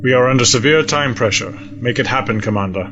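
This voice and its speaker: A man playing a cold, resolute alien space-fleet admiral in a sci-fi audio drama, speaking in formal, measured, stiff diction. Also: mid-range voice, dull audio, noisy background, natural voice. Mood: urgent, controlled.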